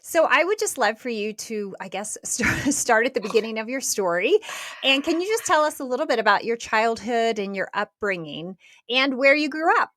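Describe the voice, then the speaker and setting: A woman's voice: a little high, expressive, moderate pace, a bit bright, no room sound. A woman hosting an interview show, asking long, encouraging questions with lots of hedging. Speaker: podcast host.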